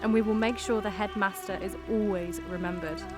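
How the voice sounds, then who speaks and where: A woman's voice: medium pitch, fairly even intonation, fairly quick, natural voice. An actress playing a resolute head girl giving a rallying speech in an audio drama, with a Northern Irish accent, over music and rain. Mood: solemn, resolute. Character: resolute head girl leading post-apocalyptic school survivors.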